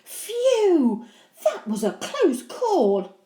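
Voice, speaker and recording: A woman's voice: very high voice, huge pitch swings, drawn-out words, warm tone, echoey room, pitched above the performer's own voice, heavily acted. A woman voicing a cheeky, scheming young boy in an exclamatory storybook manner. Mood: gloating relief. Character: scheming, indignant young boy, horrid older brother.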